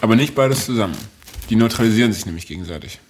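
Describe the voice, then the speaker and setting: A man's voice: fairly low pitch, lively intonation, rapid-fire, slight background noise. A man co-presenting a podcast in casual, humorous conversation.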